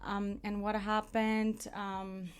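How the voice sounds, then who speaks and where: A woman in her thirties from Greece, a coach guesting on a podcast, friendly and quick to laugh with hesitant fillers. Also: mid-range voice, flat delivery, clear, resonant tone. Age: 30s. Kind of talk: casual podcast chat.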